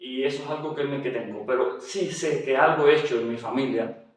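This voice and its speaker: A man with a Cuban Spanish accent, heard from a little way off the mic in a very echoey room. He talks at a mid pitch, hesitating often and restarting his sentences as he shares his story. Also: brisk, far from the mic. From Cuba. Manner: hesitant, with frequent fillers and restarts. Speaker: interview guest, general doctor. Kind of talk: testimony interview.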